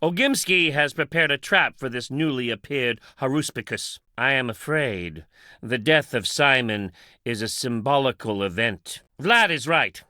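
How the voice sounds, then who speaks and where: Casting: middle-aged game patriarch, solemn and portentous, bright forceful tone, very dry recording. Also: male voice, mid-range voice, no room sound. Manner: solemn, portentous, formal stilted phrasing. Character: grave, brooding town patriarch guarding his wife's memory. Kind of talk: dramatic video game voice acting.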